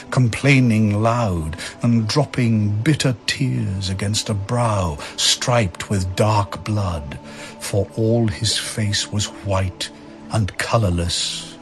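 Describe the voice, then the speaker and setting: A man narrating dramatic verse with grave, measured, theatrical phrasing. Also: lowish voice. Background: faint music.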